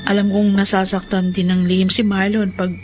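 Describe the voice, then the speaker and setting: A woman's voice: mid-range voice, flat delivery, a little fast, natural voice. Young Filipino wife and mother played by an actress, sincere and respectful radio drama delivery over music. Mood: earnest, hesitant. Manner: earnest, respectful. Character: forgiving young wife, mother and daughter-in-law.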